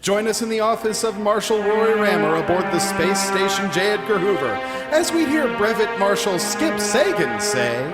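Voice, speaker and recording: Male actor as old-time radio announcer, high voice, grand theatrical delivery, loud musical backing. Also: sing-song, moderate pace, loud music behind the voice, rough recording. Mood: solemn, inviting. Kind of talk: vintage radio serial announcing.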